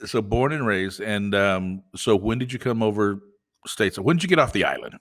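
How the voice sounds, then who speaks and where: A man with a lowish voice hosting a podcast interview. He teases his guest, laughs easily and often stops and restarts his sentences. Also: expressive. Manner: teasing, laughing, halting restarts. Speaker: podcast host.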